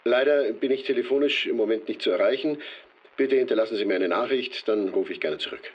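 A man's voice: mid-range voice, a little fast, breathy edge, lo-fi sound, natural voice. An actor playing a man's polite recorded voicemail greeting. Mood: polite, routine. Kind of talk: recorded answering message. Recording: very noisy answering-machine recording.

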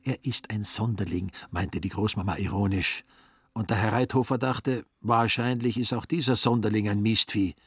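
A man's voice: fairly low pitch, fairly even intonation, normal speed, breathy edge, no room sound, studio quality. A man reads literary, satirical narration for a radio drama. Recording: music behind the voice. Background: music.

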